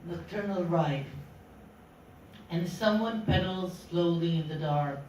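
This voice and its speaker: Lady reading a poem slowly, phrase by phrase, big echo, kinda far away. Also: on the low side, darkish tone, slight background noise. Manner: deliberate, pausing phrase by phrase. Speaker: poetry reader. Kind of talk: poetry reading. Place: indoor literary meeting room. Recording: echoey room from far off.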